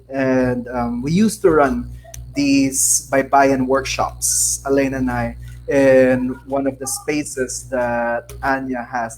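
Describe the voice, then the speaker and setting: A Filipino man talks in a measured, thoughtful way, pausing on fillers as he explains. His voice is mid-pitched, and a faint hum sits under the recording. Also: a little mellow, rough recording. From Philippines. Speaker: scholar and co-author. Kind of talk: casual interview chat.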